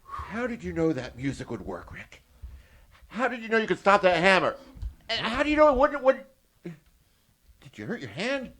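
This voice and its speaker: An actor playing a blustery, exasperated police lieutenant in an old-time radio detective drama. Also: male voice, high voice, huge pitch swings, normal speed, pauses often, fairly bright tone, exaggerated voice. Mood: agitated.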